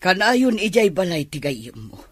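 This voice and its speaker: A displeased Ilocano mother of grown daughters in a radio drama. Her voice is husky and swings up and down as she scolds, recorded close and dry. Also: fairly low pitch, big pitch swings, normal speed, no room sound. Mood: scolding. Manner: scolding, complaining.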